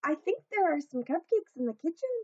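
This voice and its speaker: Storybook role: small, helpful toy, performed by a woman, high voice, earnest and reassuring, lively delivery. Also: fairly quick, no room sound, highs cut off. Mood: eager, unsure.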